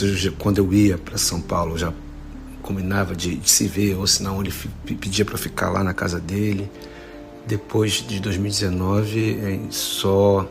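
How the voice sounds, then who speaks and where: A man in his forties from Rio de Janeiro with a Carioca accent, an actor speaking a halting, heartfelt recorded tribute with many restarts. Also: gravelly. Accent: Carioca Brazilian Portuguese. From Rio de Janeiro, Brazil. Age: 40s. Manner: halting, many restarts, emotional and rising in pitch. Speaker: actor sending a tribute message. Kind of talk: recorded audio message, personal remembrance. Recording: voice message with music underneath.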